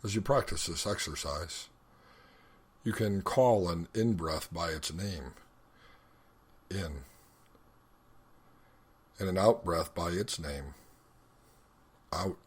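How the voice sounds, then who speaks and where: A man leading a guided meditation as an instructor, speaking in a measured, instructional way with deliberate pauses. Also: low-pitched, slightly rough.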